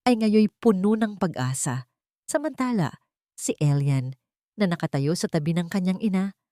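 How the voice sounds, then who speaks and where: A woman with a Filipino accent narrating a scripted dramatic story in solemn, measured phrases. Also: lowish voice, a little mellow, dry recording, clean studio sound. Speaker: audiobook narrator.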